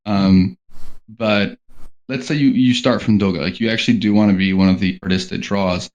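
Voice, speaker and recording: Low-voiced man, filler-heavy, dull lo-fi sound. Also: steady tone, moderate pace, darkish tone. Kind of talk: casual panel chat.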